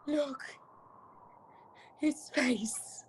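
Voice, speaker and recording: A woman's voice: sits high, drawn-out words. A woman playing an entranced wife bound to a vampire in a radio play, speaking with dramatic intensity. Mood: awed. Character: Mina, entranced young wife linked to a vampire. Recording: clearly noisy, at times distant.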